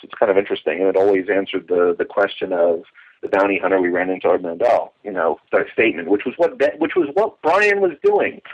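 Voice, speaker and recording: A man speaking as an interview guest, explaining at length in an earnest, wordy, emphatic way over a phone-like line. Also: lowish voice. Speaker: podcast guest, radio drama enthusiast. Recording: dull telephone-like line.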